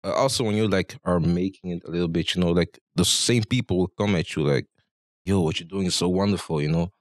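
A man's voice: low-pitched, brisk, no echo, studio quality. A man in his twenties telling his story casually as an interview guest, in slangy talk peppered with "bro" and "you know", into a handheld microphone. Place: small barbershop, indoors.

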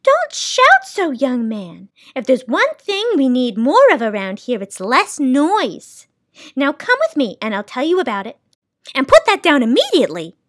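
A woman's voice: high-pitched, wildly swooping pitch, a little slow, a bit bright, pitched above the performer's own voice, heavily acted. A woman reading a storybook as a fussy, imperious, wistful female keeper of sounds, with dramatic, bossy emphasis. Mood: impatient, scolding.

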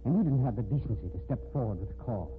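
An actor playing an obsessed, bitter American murderer in an intense, menacing 1950s radio drama style. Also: male voice, mid-range voice, lively intonation, racing, lightly acted. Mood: desperate, accusing. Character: obsessed, bitter murderer threatening the woman he wants. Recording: noisy, tinny 1950s radio recording.

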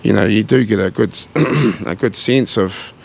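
A man in his forties with a New Zealand accent, talking earnestly and haltingly about his gym in a casual interview, recorded on a handheld mic in a large indoor gym. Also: fairly low pitch, vocal fry. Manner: earnest, halting, many fillers and restarts. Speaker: gym owner.

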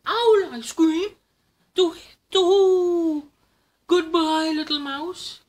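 Loud, high-pitched hooting storybook owl. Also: male voice, extremely slow, exaggerated voice. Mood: alarmed. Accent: Trinidadian. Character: owl, hooting, inviting, easily startled predator.

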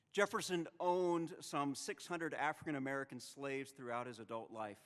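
A man's voice: medium pitch, a bit bright. Man preaching in a reverberant chapel. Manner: measured, rhetorical preaching with dramatic pauses. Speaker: seminary president preaching in chapel. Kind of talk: sermon. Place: chapel with stone wall, at a wooden lectern. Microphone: lectern microphone. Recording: long reverb of a chapel hall.

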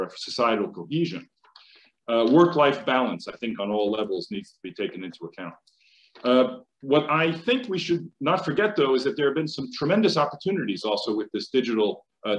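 A middle-aged American man speaking as a panelist on a video call, hesitant with many fillers and restarts. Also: medium pitch. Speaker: panelist, chemical engineering professor. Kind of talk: conference panel remarks. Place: home office. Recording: roomy video call audio.

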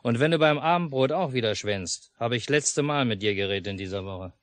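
An actor playing a gruff, bossy father and angler in a 1950s German radio play, giving terse, curt commands. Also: medium pitch, animated, a little fast, no echo, natural voice. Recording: noisy mono 1950s radio recording.

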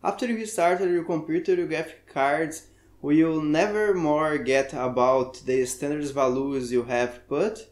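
A man from Brazil with a Brazilian Portuguese accent, a native Portuguese speaker, talking through a software tutorial in halting English and searching for words. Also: a little high, steady tone, roomy sound. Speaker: tech tutorial maker. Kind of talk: screen-recorded software tutorial.

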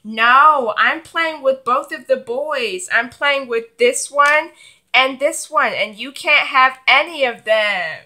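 A woman's voice: high-pitched, expressive, takes it slowly, pushed and bright, exaggerated voice. A woman voicing a bossy, selfish older sister, a young girl, in a children's doll play skit. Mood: annoyed, dismissive. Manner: bossy, dismissive.

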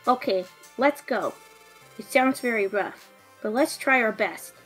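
A woman voicing a small, earnest, excitable young water creature in an expressive way for a game story. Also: on the high side, unhurried, faint music behind, rough recording. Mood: determined. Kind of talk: dramatic fan voice acting for a game story.

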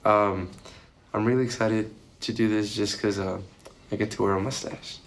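A man's voice: fairly low pitch, fairly even intonation, normal speed. A young man, an actor getting over a sore throat, chatting casually in a hallway. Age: young adult. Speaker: student actor. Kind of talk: casual interview chat. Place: indoor hallway. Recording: faint hallway background noise.

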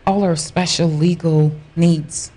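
A woman's voice: lowish voice, fairly even intonation, slow pace, warm tone, low-quality recording. A woman veteran with a Jamaican accent introducing the guests on a radio show in an easygoing way. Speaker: co-host, veteran.

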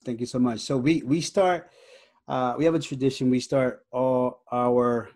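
A man in his thirties hosting a podcast interview in a measured, polite manner. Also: medium pitch, steady tone, a little mellow, no echo. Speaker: podcast host. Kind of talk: interview introduction and questions. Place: indoor room, on a video call.

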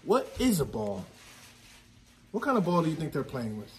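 A man's voice: a little high, wildly swooping pitch, normal speed, slight background noise. A man in his thirties reading a picture book aloud to young children, stressing key words and putting questions to them. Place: indoor classroom.

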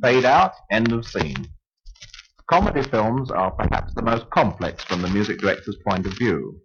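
Male narrator, 1950s radio. Lowish pitch, formal, measured, explanatory; muffled period disc sound. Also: big pitch swings. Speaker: narrator of a radio programme on film music. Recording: dull 1950 radio acetate disc recording. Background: orchestral film music.

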